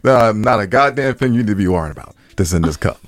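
Animated man with lowish voice, bantering podcast host. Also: quick pace, faint music behind. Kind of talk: casual, joking banter.

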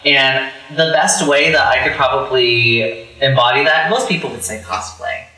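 A young man with a very bright, edgy voice tells personal stories in a candid, animated way, full of fillers. He sits back from the mic in a strongly echoing room. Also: mid-range voice, moderate pace, far from the mic. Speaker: podcast guest, drag performer. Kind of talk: casual podcast conversation. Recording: echoey room, away from the mic.

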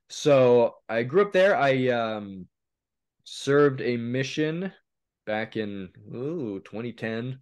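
A man in his thirties from eastern Oregon, a guest on a podcast, talking in a relaxed, halting way with many pauses and a voice a little raspy from a cold. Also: mid-range voice. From eastern Oregon, USA. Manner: relaxed, hesitant with frequent ums. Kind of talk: casual interview chat.

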